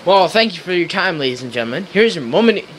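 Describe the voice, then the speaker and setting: An earnest old-time radio interview host played by a young man. His voice is fairly high, reading scripted questions in an amateur skit with faint noise behind. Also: animated, fast, fairly bright tone. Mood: questioning. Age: young adult.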